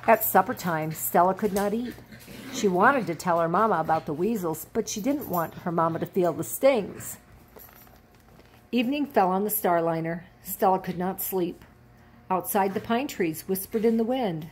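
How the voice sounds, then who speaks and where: A woman's voice: mid-range voice, normal speed, slight background noise, low-quality recording. An older woman storyteller reading a children's picture book aloud. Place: indoors, at a desk at home.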